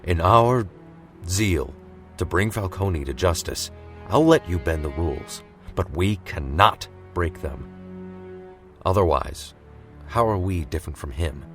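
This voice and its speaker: Male actor as veteran cop, low steady voice, dry and upright, audio-drama scene with light score. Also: soft background music. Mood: firm. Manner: measured, firm, dry. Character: steady, principled veteran police captain, adult man. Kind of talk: comic-book audio drama acting.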